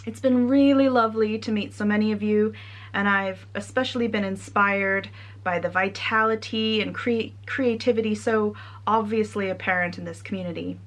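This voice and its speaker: A young woman with a Connecticut American accent, a trainee priest giving a measured, earnest sermon. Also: medium pitch, fairly even intonation. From United States.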